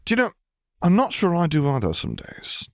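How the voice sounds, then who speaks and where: A man's voice: fairly high pitch, huge pitch swings, moderate pace, no echo, clean studio sound, voice pushed up. A man voicing an older, reserved admiral in an audiobook, polite, formal and a little hesitant. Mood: bemused.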